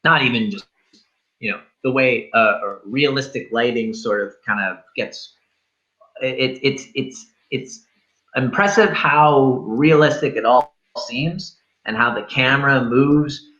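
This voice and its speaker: A man's voice: mid-range voice, measured pace, bright, forceful tone, muffled recording. A man co-hosting a film review show, talking casually in a rambling, enthusiastic way full of superlatives.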